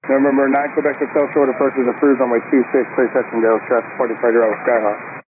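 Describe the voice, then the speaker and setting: Fast-talking male controller on tinny, noisy radio. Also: medium pitch, level tone. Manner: terse, procedural, firm. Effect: radio transmission. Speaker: air traffic controller. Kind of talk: live air traffic control radio instructions.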